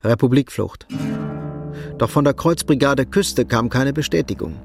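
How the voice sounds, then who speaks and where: A man's mid-range voice with a gravelly fry narrates a radio play over faint music. The delivery is scripted, literary and measured. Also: mid-range voice, animated.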